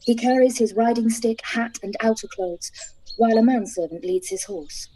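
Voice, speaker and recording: A woman narrating stage directions for a live-read radio play, calm and even. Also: fairly high pitch, moderate pace, slight background noise, poor audio.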